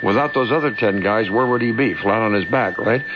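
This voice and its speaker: A man's voice: fairly low pitch, brisk. An American man hosting a talk radio show, chatting casually with a caller. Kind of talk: casual call-in chat. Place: radio studio. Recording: dull AM radio aircheck, noisy hum.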